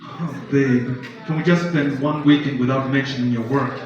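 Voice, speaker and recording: An actor in a live stage play playing a frustrated boyfriend who pleads insistently and repeats himself. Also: male voice, mid-range voice, steady tone, normal speed, a crowd in the background, lightly acted. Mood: pleading, frustrated. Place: live theatre stage, large indoor room. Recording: echoey hall, distant mic, dull, audience noise.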